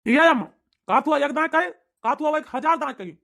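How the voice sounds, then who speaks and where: A woman voicing an earnest, defiant young man in a cartoon, talking loudly and emphatically with an Awadhi accent. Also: high-pitched, expressive, moderate pace, gravelly, exaggerated voice. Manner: loud and emphatic. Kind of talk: cartoon voice acting.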